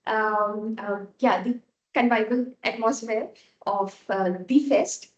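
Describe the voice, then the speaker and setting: A woman with a soft voice giving an impromptu speech, slow and hesitant with many fillers. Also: on the high side, fairly even intonation, echoey room, highs cut off. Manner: slow, hesitant, laughs often.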